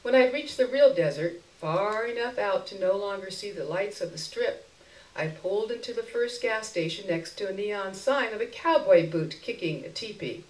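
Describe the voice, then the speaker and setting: A woman giving an expressive live reading of a first-person short story, in a literary narration style. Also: medium pitch, normal speed. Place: indoor stage before an audience. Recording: roomy live stage recording, distant mic.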